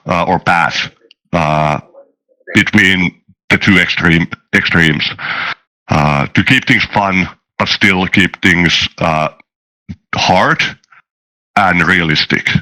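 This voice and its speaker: Deep, bright-voiced man explaining haltingly. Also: rough recording. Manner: halting, searching for words. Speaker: game creator on a panel. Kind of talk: casual explanatory discussion.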